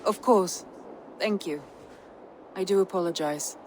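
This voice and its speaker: An actress playing a spirited Viking clan wife with an earnest, formal manner in a video game cutscene. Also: female voice, lowish voice, unhurried, darkish tone, slight background noise, lightly acted. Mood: tender.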